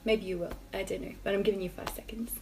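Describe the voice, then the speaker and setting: Chatty Australian woman with a gravelly edge. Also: medium pitch, steady tone, fast, darkish tone. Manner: chatty, rambling, hedging with "I don't know". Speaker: vlogger. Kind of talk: casual talking-to-camera vlog.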